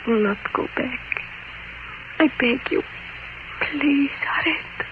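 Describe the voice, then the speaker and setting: A woman's voice: high voice, takes it slowly. An actress playing a passionate, desperate wife who implores her lover, in theatrical old-time radio melodrama style. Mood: desperate, pleading. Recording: tinny, noisy vintage radio recording.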